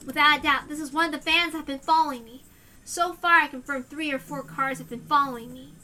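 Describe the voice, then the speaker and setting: A woman voicing a paranoid teenage girl narrator, earnestly sure she is being hunted, her voice hoarse. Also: sits high, fairly even intonation, quick pace, bright, forceful tone, slight background noise. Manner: earnest, tense conviction.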